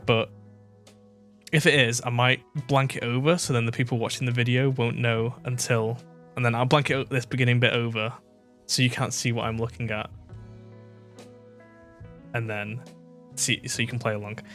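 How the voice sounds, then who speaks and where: A man's voice: mid-range voice, flat delivery, moderate pace, pauses often, a bit bright, faint music behind. A British man hosting a reaction video, chatting casually and thinking aloud with fillers and restarts.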